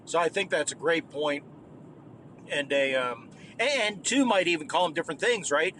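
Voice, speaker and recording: A man leaving a voicemail for a gaming podcast, talking haltingly with many restarts and tag questions. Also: on the high side, lively intonation, pushed and bright, slight background noise. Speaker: caller. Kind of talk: voicemail message.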